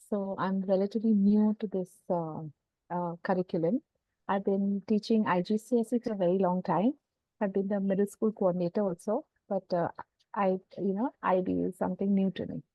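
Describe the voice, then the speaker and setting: A woman's voice: medium pitch, steady tone, moderate pace, dry recording. Casual: teacher lady, kinda hesitant, muffled dry call audio. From India. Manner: hesitant, many fillers.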